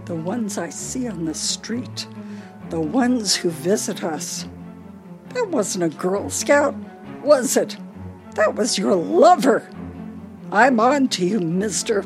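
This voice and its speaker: An actress in a radio play performing a confused, volatile older wife with failing memory. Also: female voice, medium pitch, animated, takes it slowly, music in the background. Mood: angry accusing. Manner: agitated, swinging between accusation and childlike politeness.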